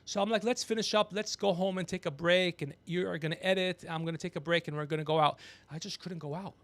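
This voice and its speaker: A gregarious man hosting a podcast, telling rambling comedic stories with animated, teasing asides. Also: sits high, fast, no echo. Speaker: podcast host. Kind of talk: rambling comedic storytelling on a livestream.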